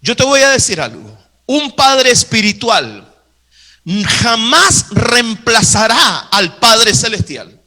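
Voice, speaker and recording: A man's voice: very high-pitched, pushed and bright. A man preaching a sermon to a live congregation, emphatic and insistently repeating his phrases. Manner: acts out Bible dialogue.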